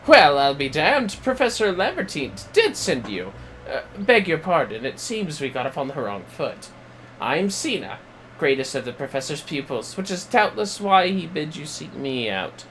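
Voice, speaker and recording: A man's voice: fairly high pitch, sing-song, moderate pace, fairly bright tone, a little hiss or hum, poor audio. A man voicing a pompous, irritable scholar from a fantasy game, reading the dialogue in a formal, wordy, haughty way. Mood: proud, prattling.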